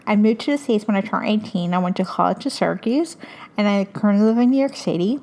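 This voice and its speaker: A young woman from hosts a casual podcast, her Spanish. She talks eagerly, full of fillers and little restarts, at an ordinary mid pitch for a woman. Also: fast, a little mellow. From Puerto Rico. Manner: enthusiastic, chatty, many fillers. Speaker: podcast host. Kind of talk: casual book recommendations.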